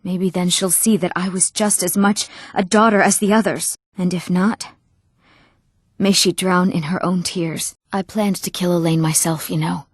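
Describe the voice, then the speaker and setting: A woman's voice: on the low side, fairly even intonation, moderate pace, slightly rough, no echo, clean studio sound. A woman voicing an emotive, dramatic young woman in a fantasy video game. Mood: bitter, grieving. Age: young adult. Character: eager, wronged young woman of a fantasy world. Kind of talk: video game character voice lines.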